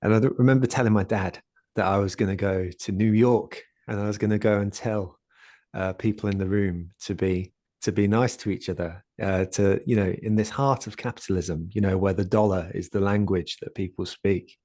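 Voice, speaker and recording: Soft-spoken guest, a man with a low, mellow voice, hesitant and full of fillers, over a dry video call. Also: fairly even intonation, normal speed, no room sound. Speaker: guest speaker, community founder. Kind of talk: conversational talk in an online session.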